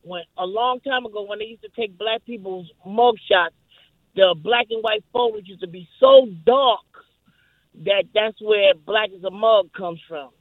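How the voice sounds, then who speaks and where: A man from New York calling into a radio show, speaking hesitantly in a very light, high voice. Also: sing-song, no room sound. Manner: hesitant, halting, repeats himself. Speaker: caller. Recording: tinny phone line.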